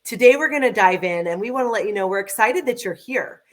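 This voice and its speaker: A woman's voice: medium pitch, expressive, quick pace. A woman hosting a podcast, introducing her guest with effusive enthusiasm. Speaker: podcast host. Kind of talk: upbeat interview hosting.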